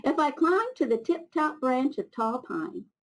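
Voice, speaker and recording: A curious little spotted beetle from a children's storybook, played by a woman reader. It speaks up high with eager, restless questioning, keen to see more of the world. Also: big pitch swings, a little slow, heavily acted. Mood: dreamy, eager. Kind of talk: storybook character reading.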